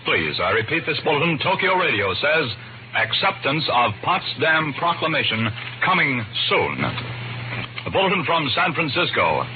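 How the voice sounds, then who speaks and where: A man's voice: on the high side, very animated, normal speed, phone-quality sound, music in the background. A man reading urgent bulletins as a 1940s radio newsreader, on an old archive recording.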